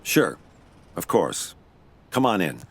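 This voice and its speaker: A man's voice: low voice, sing-song, takes it slowly, gravelly, breathy edge, exaggerated voice. An actor playing a weary, wry investigator who narrates his own case in an audio drama. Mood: subdued. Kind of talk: first-person noir-style audio drama narration.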